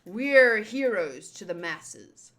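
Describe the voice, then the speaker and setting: Woman as grandiose pirate warlord, lowered voice, smug and haughty villain for a manga reading. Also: animated, slow pace, fairly bright tone. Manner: haughty, self-important.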